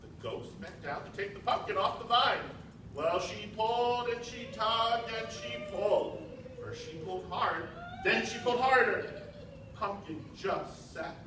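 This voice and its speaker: A man's voice: high voice, measured pace. An American man narrating a storybook to children with theatrical, emphatic delivery. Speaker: narrator and presenter, school staff. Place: large school multipurpose room, indoors. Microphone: distant camera. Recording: echoey school hall from far off, noisy.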